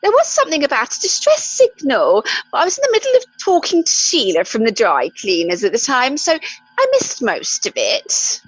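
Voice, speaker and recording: Woman playing a swooping, husky gossip. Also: high-pitched, wildly swooping pitch, moderate pace, heavily acted. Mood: huffy. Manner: chatty, indignant, innuendo-laden. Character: gossipy, saucy widowed communications officer. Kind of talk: comic radio play acting. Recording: dull lo-fi close recording.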